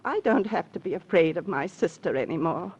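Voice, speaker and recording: A woman's voice: on the low side, expressive, brisk, a little mellow, breathy edge. An actress in an old radio crime drama playing a timid woman who clings to her protector. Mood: relieved, dreamy. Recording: muffled old radio recording.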